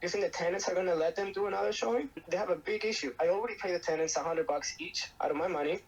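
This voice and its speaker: A man's voice: high-pitched, racing. A man talking through a business dispute in casual conversation. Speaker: real estate wholesaler. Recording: dull, noisy laptop-camera recording.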